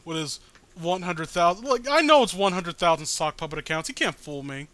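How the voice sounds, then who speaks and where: A man's voice: high-pitched, lively intonation, brisk, bright, forceful tone, no room sound. A man giving casual, mocking read-along commentary in a profane, sarcastic, ranting way. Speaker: host.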